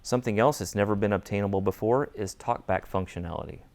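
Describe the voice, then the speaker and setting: Lower-voiced man explaining as expert guest. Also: fast, gravelly, darkish tone. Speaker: guest expert, audio and acoustics designer. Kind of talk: rehearsed technical explanation in interview.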